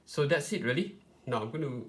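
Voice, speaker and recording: A man presenting an informal step-by-step tutorial, explaining in a hesitant, halting way with frequent pauses. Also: medium pitch, animated. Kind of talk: informal step-by-step product demonstration.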